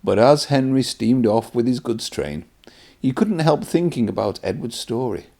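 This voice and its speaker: Man reading a children's storybook, lively pitch. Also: normal speed. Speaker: children's read-aloud presenter and narrator.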